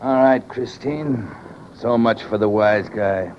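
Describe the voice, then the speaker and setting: A man's voice: lowish voice, slow. An American man playing a huge, bullying, hot-tempered tough guy in old-time radio crime acting style. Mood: menacing. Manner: blunt, menacing. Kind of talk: 1940s-50s radio acting. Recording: muffled, noisy old radio recording.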